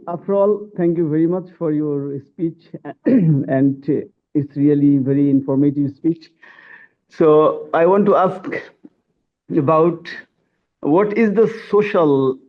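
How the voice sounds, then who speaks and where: Bangladeshi guy, hesitant and polite, sounds like he's on a phone. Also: a little high. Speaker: audience member asking a question. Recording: tinny phone-like line.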